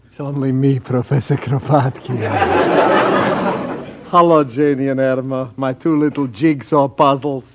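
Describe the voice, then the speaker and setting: An actor playing a jolly, eccentric older professor in an old radio sitcom, playful and joking, with skewed grammar. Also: male voice, medium pitch, steady tone, slow pace, natural voice. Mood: impish, jolly. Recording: muffled, noisy old radio recording.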